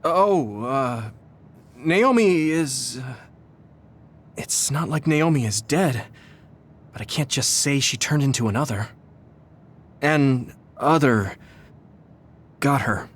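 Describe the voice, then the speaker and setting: A man voicing a sincere, gentle and polite young hero in an anime-style video game. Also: mid-range voice, lively intonation, takes it slowly, long pauses. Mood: halting, grieving.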